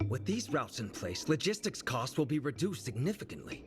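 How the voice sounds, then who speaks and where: An actor playing an affable, concerned male delivery branch manager in a video game, courteous and expressive in his speech. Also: mid-range voice, normal speed, natural voice. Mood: approving. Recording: music playing behind the voice.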